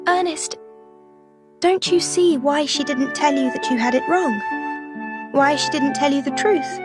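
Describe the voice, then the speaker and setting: Kindly young sleuth for a mystery game: high voice, soft questioning manner, music underneath. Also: female voice, unhurried. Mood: kindly, assured. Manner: gentle, questioning. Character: bright, kindly young lady detective, gently persuasive. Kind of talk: animated mystery game voice acting. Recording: clear music behind the voice.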